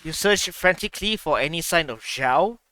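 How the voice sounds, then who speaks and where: A man's voice: fairly high pitch, expressive, moderate pace, sharp tone, a little hiss or hum. A man reacting to a video game story, trash-talking the characters and reading narration aloud.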